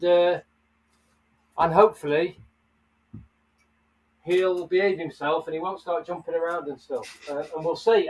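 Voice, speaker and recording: Man, high voice, chatty livestream host, greets viewers, slightly distant mic. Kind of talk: casual live chat.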